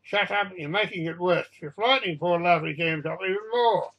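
A man's voice: high voice, brisk, a bit bright, poor audio. A man voicing an exasperated cat owner in a story, scolding panicking passengers in a weary, plain-spoken way.

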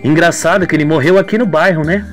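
Woman as suspicious middle-aged dad: blunt, quick, insinuating, radio soap. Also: sits low, faint music behind, lightly acted.

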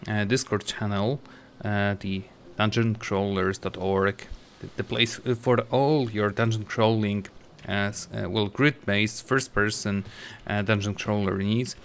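Let's play host, male, low voice; casual, halting commentary with lots of fillers and self-corrections. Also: a bit bright, slight background noise. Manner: hesitant, many fillers and restarts.

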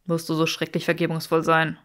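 A woman's voice: deep voice, monotone, brisk, fairly bright tone. A woman voicing a brooding, self-reproachful, haughty man with dry wit in a fiction audiobook. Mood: dry, guilty.

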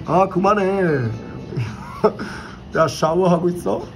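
A young man with a fairly high voice chats excitably on a handheld camera in an indoor animal cafe. He speaks slowly, in learner's Korean mixed with English, and laughs often. Music plays clearly under his voice. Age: young adult. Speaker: vlogger. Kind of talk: casual vlog chat.

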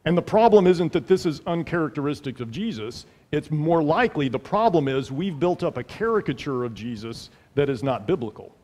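A middle-aged male pastor preaching with earnest emphasis, his voice sitting a little high for a man. He leans on repeated phrases to drive a point home. A headset mic catches him, with a long reverb trailing off the church hall. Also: animated, brisk, few pauses, darkish tone. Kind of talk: sermon. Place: large church sanctuary, at a pulpit.

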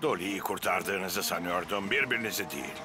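A man's voice: sits low, moderate pace, music in the background, lightly acted. A man voicing a stern, exasperated, officious fire chief in a children's cartoon dub. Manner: forceful, officious.

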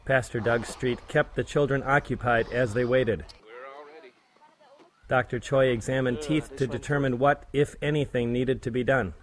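A man, a church volunteer, reading a plain, measured documentary voice-over about a mission trip. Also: medium pitch, steady tone. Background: background music.

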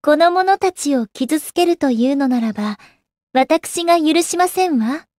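A woman's voice: high-pitched, animated, measured pace, a little mellow, no echo, clean studio sound. An actress playing a proud, composed young goddess warrior in a game, with refined, ladylike and formal speech. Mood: resolute. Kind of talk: Japanese anime game character acting. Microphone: close dry studio microphone.

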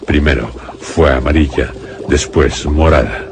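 Very deep, grave male horror narrator. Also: noisy background. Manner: grave, deliberate, dramatic pauses. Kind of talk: scripted radio horror narration.

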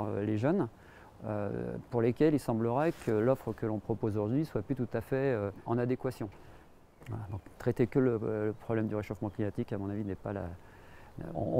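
A man's voice: medium pitch, creaky, mellow, a little hiss or hum. A middle-aged man, giving an interview outdoors and searching hesitantly for his words. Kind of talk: documentary interview. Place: outdoors on a mountain terrace.

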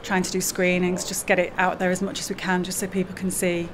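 A woman in her forties gives an interview in a large hall. Her voice sits fairly low and moves very little in pitch. Clear background noise surrounds her. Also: completely flat delivery, fairly quick. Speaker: screenwriting lecturer and writer. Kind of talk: interview about own film. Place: large screening hall, indoors. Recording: noisy room with background ambience.

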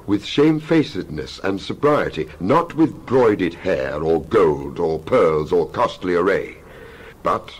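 A man giving a dramatized scripture reading as a Bible narrator, solemn and emphatic, with measured oratorical phrasing. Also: sits low, a little mellow, slightly rough, rough recording. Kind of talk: dramatized scripture reading, King James English.

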